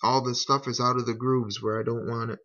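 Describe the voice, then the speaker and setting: Male hobbyist narrator, folksy and unhurried, mid-range voice, casual step-by-step delivery, muffled lo-fi home recording. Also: mid-range voice, flat delivery, bright, forceful tone. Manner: unhurried, folksy, talking through each step. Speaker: hobbyist demonstrating a repair.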